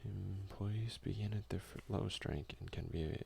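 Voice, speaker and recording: A man doing a soft-spoken, unhurried ASMR game playthrough, reading text aloud with casual asides. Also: low voice, fairly even intonation, vocal fry, husky. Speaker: let's play streamer.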